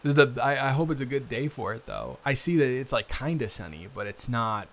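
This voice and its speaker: A man playing a friendly, bookish young guy, his best friend's brother, chatting casually and haltingly in an improvised roleplay audio. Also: mid-range voice, a bit bright, natural voice. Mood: teasing, curious. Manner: halting, rambling, self-interrupting with repeated restarts.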